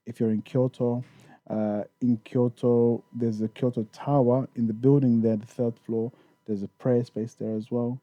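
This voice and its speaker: A man's voice: mid-range voice, little pitch movement, measured pace, dark tone, no echo, lo-fi sound. A man raised in the UK, talking casually as a podcast guest about touring in Japan, with many restarts and fillers. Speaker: guest, Japan tour organizer.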